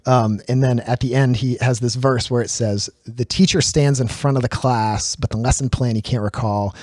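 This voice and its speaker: A man of medium pitch chats on his podcast in a measured, thoughtful way. He pauses often with fillers and restarts as he works through ideas. The sound is dry and close, with no room echo. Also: a little mellow. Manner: thoughtful, quotes lyrics, frequent fillers and restarts. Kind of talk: casual conversational podcast.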